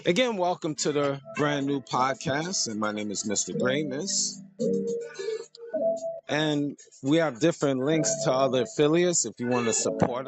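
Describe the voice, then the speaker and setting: A man hosting his podcast with a slightly hoarse voice, talking casually and repeating contact details in an easygoing, rambling way. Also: medium pitch, rough recording. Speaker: podcast host. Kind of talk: casual podcast talk with sponsor plugs.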